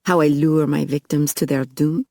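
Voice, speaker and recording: A plump, dandyish male vampire with a French accent drawls his lines in a mincing, theatrical way, voiced by a woman reading a storybook. The voice sits low and close, recorded dry with no room around it. He sounds delighted by his own menace. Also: fairly even intonation, gravelly, darkish tone, no echo. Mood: silky menace. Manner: mincing, theatrical, drawn-out delivery. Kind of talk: audiobook character voice.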